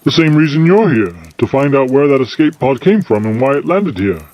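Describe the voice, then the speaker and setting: A man's voice: medium pitch, very animated, fairly quick, darkish tone, dry recording, dull audio, lightly acted. An actor in an audio drama playing a glib, unflappable, eccentric male time traveller with a wry, evasive, flippant manner.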